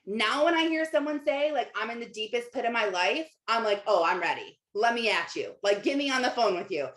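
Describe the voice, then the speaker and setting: A woman's voice: on the high side, lively intonation, brisk, a bit bright. Woman coaching casually in an echoey room. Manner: informal, conversational, emphatic with rhetorical questions and repetition. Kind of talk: casual motivational livestream talk to viewers, reading out comments. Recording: roomy, echoey sound.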